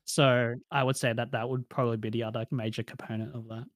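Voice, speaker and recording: A man's voice: medium pitch, flat delivery, fast talker, no room sound. Young australian man, thoughtful, lots of fillers, dry close recording. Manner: reflective, many fillers like "like" and "um". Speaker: interviewee. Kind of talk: casual interview answers.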